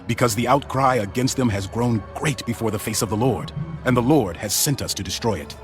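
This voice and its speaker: A man's voice: medium pitch, brisk, natural voice. Angel role for biblical audio theater, male actor: stern, commanding messenger, mid-range voice, weighty authority, scored with music. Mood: grave warning. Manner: grave, authoritative. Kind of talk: dramatized audio Bible acting.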